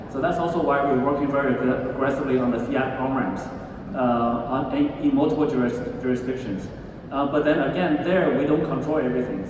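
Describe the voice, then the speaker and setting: A man speaking on a conference panel, talking in halting stretches with many restarts and fillers. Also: mid-range voice, background noise. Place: large conference hall stage. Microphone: handheld mic, filmed from far in the audience. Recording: echoey hall filmed from far off.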